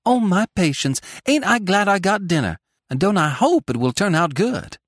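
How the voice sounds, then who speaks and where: A man voicing a bustling, excitable and bossy teenage farm girl with rustic old-fashioned speech, as a storybook character. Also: high-pitched, normal speed, dry recording, clean studio sound, voice pushed up, full character voice. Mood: excited.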